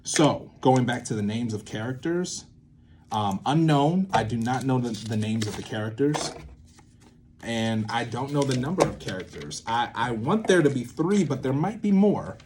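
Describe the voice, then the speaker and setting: A young man explaining in a casual, halting way, thinking aloud and often repeating and checking himself. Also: medium pitch, expressive, background noise. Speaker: creator teaching a creative method.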